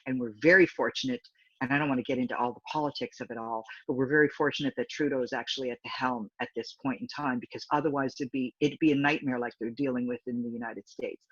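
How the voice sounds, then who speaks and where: A deep-voiced woman in her fifties talks freely as an interview guest and often circles back and restarts her sentences. Her voice is husky and low. The recording is dry and slightly dull. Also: no room sound. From Canada. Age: 50s. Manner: rambling, talkative, frequent restarts. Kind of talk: casual interview chat.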